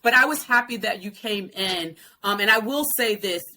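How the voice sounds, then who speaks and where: A woman's voice: mid-range voice, normal speed, bright, forceful tone, a little hiss or hum, poor audio. A woman in her late forties hosting an interview, asking frank, thoughtful questions in casual conversation. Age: late 40s. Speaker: podcast host.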